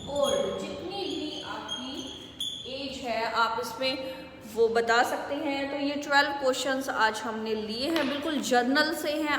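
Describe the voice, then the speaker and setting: A woman with an Indian accent teaching English phrases, saying the model answers slowly and clearly. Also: fairly high pitch, fairly bright tone, slight background noise. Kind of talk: spoken English lesson in Hindi. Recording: echoey room with long reverb.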